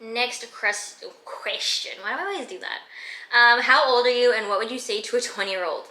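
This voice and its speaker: A woman's voice: a little high, normal speed, sharp tone, echoey room, a little hiss or hum, rough recording. A woman of twenty-five from Canada, chatting casually through a fitness Q and A with lots of filler words. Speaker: fitness vlogger. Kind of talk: casual Q and A chat. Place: her room.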